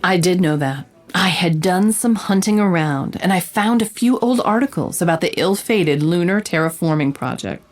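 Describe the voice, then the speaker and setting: Sly female reporter for a noir audio drama, played by an actress: lowish voice, wry, measured, sure of herself. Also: darkish tone, lightly acted. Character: confident, sly female investigative reporter narrating her case. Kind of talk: noir-style scripted audio drama narration and dialogue.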